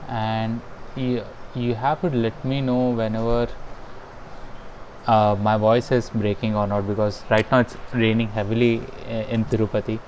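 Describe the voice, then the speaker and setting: Halting man teaching over a video call. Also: on the low side, level tone, a little mellow, slight background noise, rough recording. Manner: halting and hesitant, many fillers and restarts. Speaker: instructor. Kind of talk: live online programming lesson. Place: home in a rainy town.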